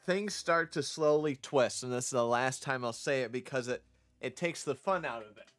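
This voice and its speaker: A man giving casual, chatty commentary as they show a visual novel, often halting and interrupting themselves with asides. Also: mid-range voice, fairly bright tone, no room sound. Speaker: vtuber streamer showing their own visual novel. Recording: music under the voice. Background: music.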